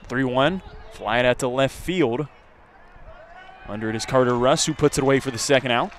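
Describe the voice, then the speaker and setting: A man's voice: medium pitch, normal speed, a faint crowd. A man doing live play-by-play baseball commentary as a radio announcer. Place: outdoor ballpark. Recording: steady background noise at outdoor ballpark.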